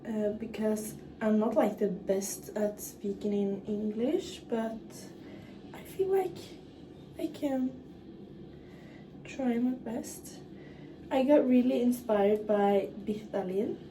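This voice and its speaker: Norwegian girl chatting slow with lots of ums, mic kinda far, echoey room. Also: on the high side, animated, background noise. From northern Norway. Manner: halting, frequent fillers and restarts. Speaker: vlogger. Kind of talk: casual get-ready-with-me chat.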